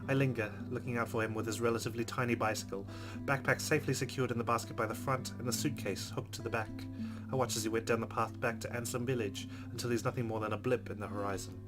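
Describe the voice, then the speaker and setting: A man hosting a let's play, reading a visual novel aloud with expressive delivery and quick asides. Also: mid-range voice. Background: game music.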